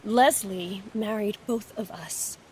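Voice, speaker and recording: An actress playing a sharp-tongued, scornful rival wife in an audio drama, with a dry, cutting, sardonic delivery. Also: female voice, mid-range voice, takes it slowly, a little hiss or hum, close to an everyday voice, rough recording. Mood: dry, defiant. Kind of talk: audio drama acting, period dialogue.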